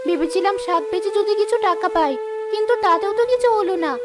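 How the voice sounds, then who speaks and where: A woman's voice: very high-pitched, quick pace, heavy background noise. A woman voicing a poor, honest and determined village wife in a cartoon story, earnest and plaintive. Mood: sorrowful. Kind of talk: Bengali cartoon story dialogue.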